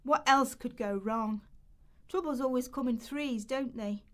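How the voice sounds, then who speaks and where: A woman acts a despairing, anxious young woman in a radio play. Her voice sits on the high side as she confides her hardships in the first person, like someone telling her own story. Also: measured pace. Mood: despairing, confiding. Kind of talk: rehearsed radio play monologue.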